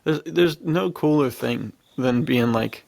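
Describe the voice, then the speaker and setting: Enthusiastic man with very gravelly voice. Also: mid-range voice, slow, darkish tone, dry recording. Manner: enthusiastic, slangy. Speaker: podcast co-host. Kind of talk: casual chat, retelling film scenes.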